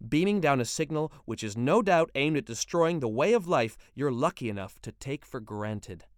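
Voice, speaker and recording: Guy doing a pompous worried neighbor, lecturing, loud, smooth dry voice. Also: mid-range voice, dry recording, natural voice. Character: anxious, pompous suburban man, Cold War worrier.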